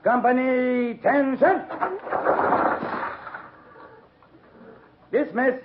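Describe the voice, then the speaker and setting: An actor playing a shrewd, stern frontier cavalry captain in a 1950s radio western, speaking in blunt, clipped commands. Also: male voice, very high-pitched, fairly even intonation, measured pace. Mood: commanding. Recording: muffled, faintly noisy 1950s radio recording.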